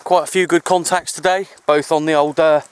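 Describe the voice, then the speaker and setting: A man's voice: a little high, fairly even intonation, fairly quick, clear, resonant tone. A man chatting casually and in a rambling, easygoing way for an outdoor hobby vlog, recorded on a handheld camera in the countryside. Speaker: amateur CB radio hobbyist vlogger.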